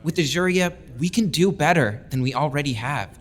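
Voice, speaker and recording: A man's voice: on the high side, animated, brisk, lightly acted. An actor playing a passionate young lieutenant who speaks up for his people with earnest conviction. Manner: earnest, persuasive speech-making. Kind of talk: audio drama acting.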